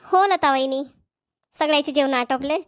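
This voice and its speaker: A woman's voice: high-pitched, moderate pace, no echo, studio quality, close to an everyday voice. A woman voicing a plain-spoken middle-aged village mother in a family cartoon, with a Varhadi Marathi accent. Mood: homely. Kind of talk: cartoon family comedy voice acting.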